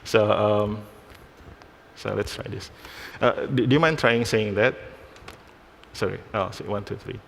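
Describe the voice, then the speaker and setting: A man's voice: fairly low pitch, vocal fry, a little hiss or hum. A man from Indonesia giving a live technical presentation on a conference stage, restarting phrases as he works through a demo. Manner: halting, restarts phrases while demoing. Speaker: conference speaker. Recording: hall reverb.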